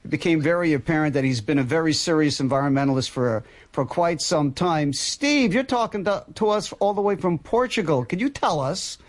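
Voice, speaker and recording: Man, radio host, friendly, fumbling restarts, slightly high voice. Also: expressive, a little fast, few pauses. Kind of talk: radio interview.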